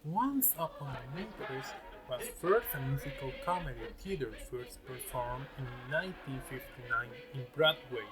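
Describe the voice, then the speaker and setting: A man presenting scripted narration in a halting way, sometimes stumbling over words. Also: medium pitch, poor audio.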